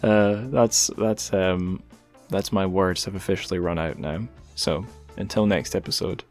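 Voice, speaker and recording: A man hosting a podcast, talking in a relaxed, rambling way with light jokes. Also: low voice, measured pace, soft background music. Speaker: podcast host. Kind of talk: casual podcast chat and plugs.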